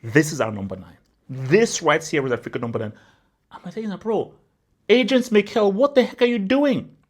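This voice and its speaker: A man's voice: high-pitched, moderate pace. A young man from Nigeria who hosts a football channel, giving casual, emphatic commentary, often saying "bro" and stacking up exaggerations. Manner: animated and emphatic, repeats "bro", spirals into exaggeration.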